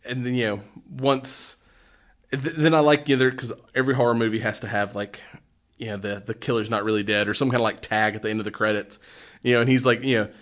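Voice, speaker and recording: A male podcast co-host talks through horror films in a loose, conversational way. His voice sits at a middling pitch. He keeps a joking manner and tends to laugh while he speaks. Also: steady tone, fast, fairly bright tone. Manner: jokey, laughs often while talking. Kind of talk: casual chat, film commentary.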